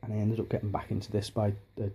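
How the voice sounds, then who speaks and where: A man in his thirties from Liverpool, chatting casually on a home vlog with a softened Liverpool accent, rambling with many restarts and ums, filmed on a handheld camera in his dining room. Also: fairly low pitch, completely flat delivery, fast talker, breathy edge. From Liverpool, England. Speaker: hobbyist vlogger. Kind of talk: casual home video chat.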